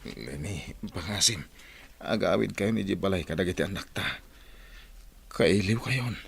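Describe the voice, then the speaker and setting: Gravelly pleading village husband played by a man. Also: sits low, expressive, measured pace, exaggerated voice. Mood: subdued, bitter. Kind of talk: radio drama acting in Ilocano.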